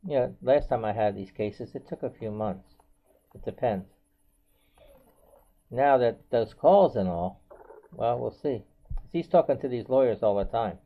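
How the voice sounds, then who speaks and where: A man's voice: medium pitch, animated, a little slow, pauses often. An American man in his seventies talking casually and unscripted to viewers, rambling and circling back with frequent filler phrases. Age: 70s. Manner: rambling, repetitive, often says "you know". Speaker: video blogger. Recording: dull, band-limited home video audio.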